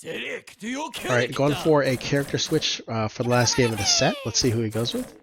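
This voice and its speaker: A man's voice: mid-range voice, huge pitch swings, moderate pace, gravelly, over music. A congested man casually commentating his own game matches, explaining his plans with lots of fillers. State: congested, slightly stuffy.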